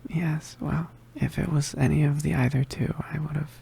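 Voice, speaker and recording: Male actor as injured witch boy, ASMR roleplay, soft dark murmur, gravelly creak, halting, weary, pained. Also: on the high side, fairly even intonation, slight background noise. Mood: weary, explaining. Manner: halting, hesitant, murmured, understated. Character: badly injured young male witch, weary and self-sacrificing.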